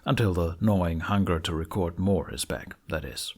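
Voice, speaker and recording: A man's voice: deep voice, moderate pace, darkish tone, breathy edge. A man presenting a scripted tutorial in an informal way, with wry asides.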